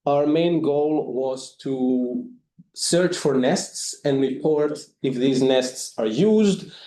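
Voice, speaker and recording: A man giving a presentation as a volunteer, speaking haltingly with frequent fillers. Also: a little high, warm tone, reverberant, low-quality recording. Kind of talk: presentation talk.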